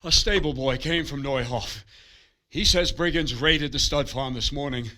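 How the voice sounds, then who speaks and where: An actor playing a dutiful captain of the castle guard in a medieval video game, formal and urgent. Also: male voice, medium pitch, normal speed, sharp tone, natural voice. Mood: grave, urgent. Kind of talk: video game cutscene acting.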